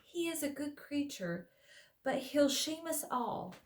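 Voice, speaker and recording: A blunt, impatient dwarf with a scolding edge, pitched well above a plain voice, as a woman puts him on. He grumbles more than he talks. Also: animated, slow pace, recorded from a distance, full character voice. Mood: grumbling.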